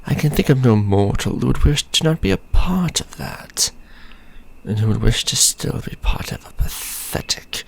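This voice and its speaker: A man playing a haughty, centuries-old vampire lord in an ASMR fantasy roleplay, speaking with pompous, deliberate contempt. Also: mid-range voice, creaky, husky, exaggerated voice. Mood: imperious.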